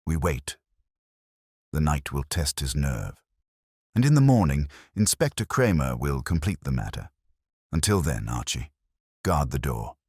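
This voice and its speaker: Imperious big detective played by a male narrator, bottomless low voice, formal and peremptory, audiobook crime story. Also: very animated, unhurried, pauses often, a little mellow, slightly rough, no room sound, clean studio sound, exaggerated voice. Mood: commanding. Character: commanding, imperious, heavyset male master detective.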